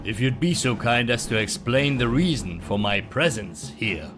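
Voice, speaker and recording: A man playing a cool, curt special agent in a motion comic, speaking in a deadpan, formal, matter-of-fact way. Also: medium pitch, moderate pace, close to an everyday voice. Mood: curt, unimpressed. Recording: music behind the voice.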